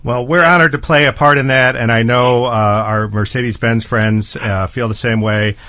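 A woman's voice: extremely low pitch, fairly even intonation, normal speed, fairly bright tone, no echo. A woman radio host doing casual, friendly interviews on a morning show.

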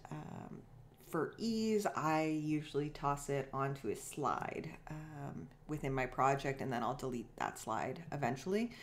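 A woman with a low-pitched voice presents a screencast tutorial. She takes her time, explaining each step in an informal, casual style.

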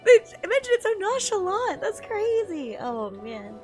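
A woman streamer doing an animated live reaction, exclaiming excitably and swearing freely. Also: very high-pitched, normal speed. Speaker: streamer reacting to and reading a manga aloud. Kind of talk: animated live reaction and dramatic read-aloud. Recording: music playing behind voice. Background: music.

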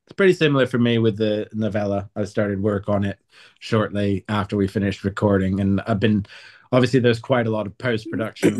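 A man's voice: on the low side, a little mellow. A man answering as an author in a conversational interview, explaining in a reflective, articulate way.

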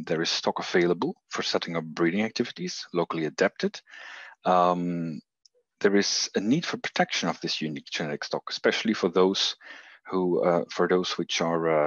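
A man with a Belgian accent gives a research talk over a video call. His voice is very low, and he pauses often with fillers and restarts. The sound is muffled and dry. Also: husky, no echo. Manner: hesitant, many fillers and restarts. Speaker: researcher presenting.

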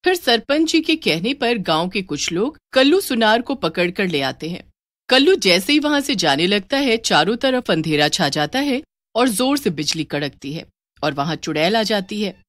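A woman's voice: mid-range voice, big pitch swings, normal speed, fairly bright tone, dry recording. A woman narrator delivering scripted story narration.

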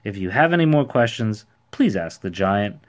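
A man voicing a proud, cheery storybook man who declares things with bright self-importance. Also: fairly low pitch, very animated, moderate pace. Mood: puzzled, pompous. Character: proud, cheery, impatient ordinary man posing as a giant. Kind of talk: storybook character voice.

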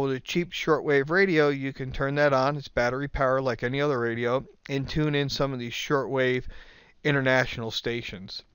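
A man's voice: mid-range voice, a bit bright, clear, resonant tone, no echo. A man narrating an instructional video in a plain-spoken, methodical, informal way. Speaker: host of an instructional video channel. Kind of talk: explanatory slide narration, informal.

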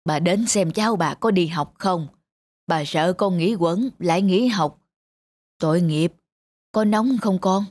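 A woman playing an elderly, caring grandmother in a cartoon, gently coaxing. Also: lowish voice, a little slow. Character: elderly, caring, self-sacrificing grandmother street vendor. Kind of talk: cartoon voice acting. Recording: music behind the voice.

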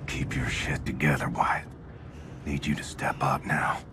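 An actor playing a gruff, determined battle-worn soldier in a video game, muttering tersely to himself. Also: male voice, level tone, a little slow, whispered. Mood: grim resolve. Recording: clearly noisy background, vehicle rumble.

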